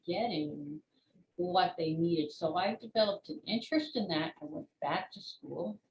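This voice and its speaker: An older woman, in her sixties or beyond, answering interview questions as an author and former teacher in a measured, explanatory way with pauses between short phrases. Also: fairly low pitch, highs cut off. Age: 60s or older. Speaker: children's book author and retired teacher being interviewed. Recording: roomy echo with clear background noise.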